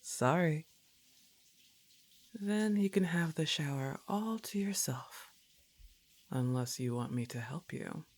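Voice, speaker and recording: Slow, laid-back, teasing goth roommate, acted by a woman. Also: low-pitched, expressive, full character voice. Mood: laid-back, reassuring. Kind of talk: intimate ASMR roleplay acting.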